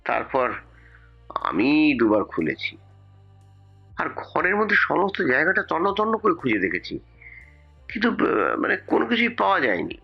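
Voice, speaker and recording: A man's voice: a little high, wildly swooping pitch, moderate pace, creaky, long pauses, husky, muffled recording, soft background music, heavily acted. A man playing a police investigator in an audio story, emphatic and explanatory, defensive about a case that baffles him. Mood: pondering. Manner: emphatic, explanatory, with rhetorical questions.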